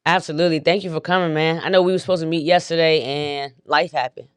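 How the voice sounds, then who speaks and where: A woman's voice: low-pitched, steady tone, fast talker, clean tone, dry recording, clean studio sound. A woman hosting a podcast in a hyped, slangy, upbeat way, casually chatting with her guest. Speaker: podcast host. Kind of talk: casual interview chat.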